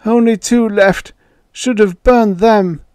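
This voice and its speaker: A man's voice: high voice, fairly even intonation, takes it slowly, darkish tone, clean tone, dry recording, clean studio sound, voice pushed up. A man doing a frail quavering old inventor. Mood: fearful pleading. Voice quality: quavering, pleading.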